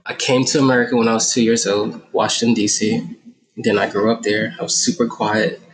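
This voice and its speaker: A man in his thirties from Guyana, a podcast guest talking in a relaxed, reflective way with many fillers. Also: fairly low pitch, brisk, low-quality recording. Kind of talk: casual interview talk.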